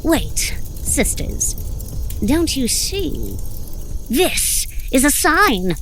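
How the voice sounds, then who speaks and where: A woman's voice: high voice, huge pitch swings, extremely slow, a bit bright, a little hiss or hum, full character voice. A woman playing a scheming, devout witch priestess in an audio drama, with a grandiose, incantatory manner. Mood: excited, conspiratorial. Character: scheming, theatrical witch priestess, devoted to her goddess. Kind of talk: horror comedy audio drama.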